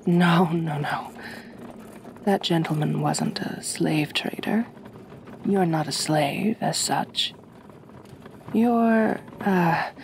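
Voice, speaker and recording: An aristocratic female vampire, acted by a woman for an ASMR roleplay, speaks very slowly and softly in formal, soothing phrases. Her breathy voice has a marked creak and drops toward a whisper, gentle yet possessive. There is faint noise behind her. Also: lowish voice, exaggerated voice. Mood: politely awkward. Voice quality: soft, breathy, often near-whispered. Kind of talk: soft-spoken ASMR roleplay.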